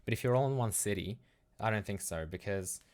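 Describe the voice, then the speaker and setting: A man's voice: on the low side, a little fast. An Australian man chatting casually as a livestream host, rambling loosely and thinking aloud. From Melbourne, Australia.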